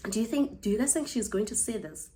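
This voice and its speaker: A woman's voice: fairly high pitch, fairly bright tone, a little hiss or hum. Chatty young South African woman. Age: 20s. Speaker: vlogger. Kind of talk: casual storytelling to camera.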